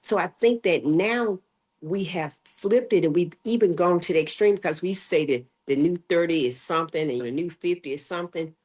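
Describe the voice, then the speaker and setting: Low husky older woman, emphatic. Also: normal speed, a little mellow. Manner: emphatic, acts out quoted voices. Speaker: guest, health educator. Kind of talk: conversational interview.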